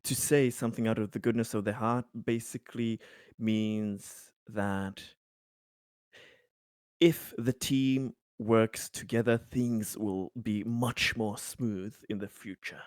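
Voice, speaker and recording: A man voicing an earnest, long-winded, pragmatic colony official in an improvised tabletop game, speaking in halting, emphatic bursts. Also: lowish voice, fairly even intonation, darkish tone, dry recording, clean studio sound. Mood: earnest, exasperated. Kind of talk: improvised tabletop roleplay dialogue.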